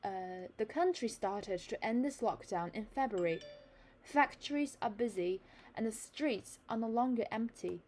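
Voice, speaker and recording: A woman's voice: on the high side, expressive, a little slow, darkish tone, a little hiss or hum. A young Chinese woman tutoring English in a learned British accent, reading an article aloud and then explaining it with fillers and restarts.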